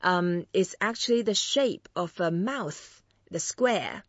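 Woman teaching chinese on a podcast, slow and patient, repeats stuff, dry kinda muffled sound. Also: on the low side, expressive, no room sound. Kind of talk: friendly language lesson.

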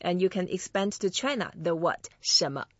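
A woman hosting a podcast, teaching Chinese phrases slowly and patiently and repeating each phrase for her guest. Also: on the low side, animated, no room sound, lo-fi sound. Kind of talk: friendly language lesson.

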